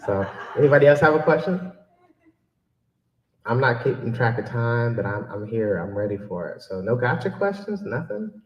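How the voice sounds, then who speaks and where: A man's voice: mid-range voice, moderate pace, highs cut off. Echoey man speaking casually to an audience. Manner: joking, self-deprecating, animated when quoting himself. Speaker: keynote speaker and children's book author. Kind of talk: informal audience Q&A talk. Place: public library event room. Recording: echoey room, mic at a distance.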